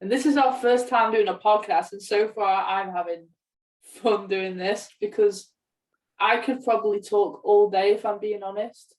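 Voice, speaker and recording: A woman's voice: medium pitch, fairly even intonation, normal speed, a little mellow. A young woman talking casually as a co-host on a podcast. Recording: roomy, distant from the mic.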